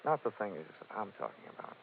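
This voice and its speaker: An actor playing a suave, wisecracking, flirtatious private investigator in a 1940s radio drama. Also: male voice, on the low side, huge pitch swings, quick pace, gravelly, over music, full character voice. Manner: wry, quipping, flirtatious. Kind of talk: 1940s radio detective acting. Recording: tinny, noisy 1940s radio recording.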